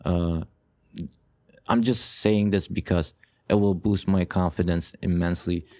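A man's voice: low-pitched, steady tone, measured pace, mellow, no echo, clean studio sound. A man hosting a gaming channel, giving casual let's play commentary in an earnest, rambling way, repeating phrases for emphasis as he addresses viewers directly. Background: music with guitar.

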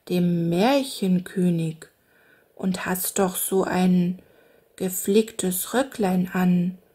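A plodding young woodcutter from a storybook who drags out every word, as a woman puts him on. The voice is slightly breathy and unhurried. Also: dark tone. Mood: puzzled. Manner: slow, lower, hesitant drawl.

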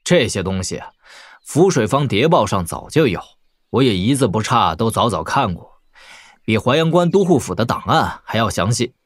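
Commanding young prince, expressive swings. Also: male voice, medium pitch, normal speed, dry recording, studio quality. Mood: composed, stern. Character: young prince and frontier warlord, calm, commanding. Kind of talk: audio drama acting.

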